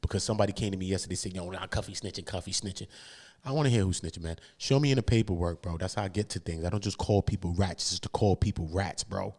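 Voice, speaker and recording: A man's voice: low voice, fast, a little mellow. An American man hosting a livestream, giving casual, slangy street commentary on court cases and repeating phrases for emphasis.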